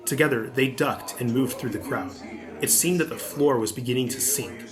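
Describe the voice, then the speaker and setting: A man's voice: medium pitch, normal speed. Male audiobook narrator over music.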